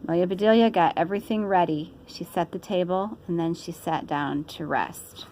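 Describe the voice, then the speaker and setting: A woman's voice: on the low side, normal speed, a little mellow, slight background noise, low-quality recording. A woman reading a children's story aloud, playfully, with chatty asides. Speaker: storybook reader. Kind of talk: animated children's story narration.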